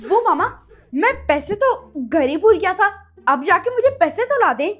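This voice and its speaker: A woman's voice: extremely high pitch, big pitch swings, moderate pace, a bit bright, full character voice. A woman playing a cheeky, lazy schoolboy son in a comic family skit, with a bossy, mischievous manner. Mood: sneaky.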